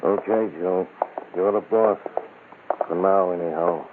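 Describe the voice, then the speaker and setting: A man's voice: low voice, steady tone, unhurried. An actor playing a grudging, wary gangster henchman in an old radio drama. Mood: doubtful, grudging. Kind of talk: 1950s crime radio drama acting. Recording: very noisy, tinny old radio recording.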